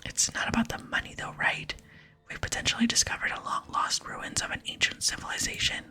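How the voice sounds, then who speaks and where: An earnest, naive fantasy game adventurer, read by a woman, speaks in a puzzled way. The voice is a bright, very breathy whisper with music underneath. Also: fairly quick, close to an everyday voice.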